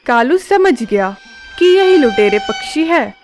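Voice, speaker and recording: High-voiced woman narrating a cartoon story. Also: slow pace, soft background music. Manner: steady, clear storytelling.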